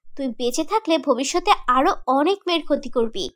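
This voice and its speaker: A woman's voice: high-pitched, expressive, fairly quick, fairly bright tone. A woman voicing the vengeful ghost of a betrayed young woman in a horror cartoon, accusing and emphatic. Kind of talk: dramatic horror cartoon acting.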